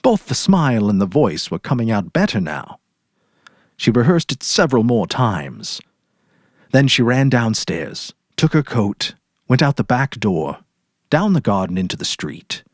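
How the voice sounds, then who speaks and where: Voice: mid-range voice, big pitch swings, unhurried, no room sound, clean studio sound. A narrator giving a dramatic reading of a story.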